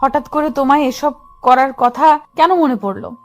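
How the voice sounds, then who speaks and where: A woman's voice: high-pitched, moderate pace, natural voice. A woman playing a hurt, devoted young wife and mother in a dramatised audio love story. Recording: music under the voice.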